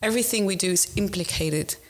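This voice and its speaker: A woman's voice: mid-range voice, fast talker. A woman speaking as a panel guest, earnest and often restarting her sentences as she works through ideas. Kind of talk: panel discussion.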